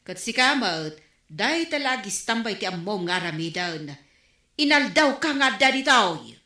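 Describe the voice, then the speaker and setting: Blunt bossy storekeeper, Ilocano accent, forceful bright voice, radio comedy, roomy echo. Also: female voice, mid-range voice, big pitch swings, measured pace. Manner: forceful, disapproving.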